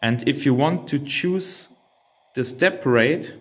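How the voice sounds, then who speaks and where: Male hobby reviewer, native German speaker, unhurried and hesitant, talking through a device step by step. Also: mid-range voice, a bit bright. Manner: hesitant, thinking aloud. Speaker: reviewer demonstrating a radio transceiver. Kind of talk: unscripted hands-on product walkthrough.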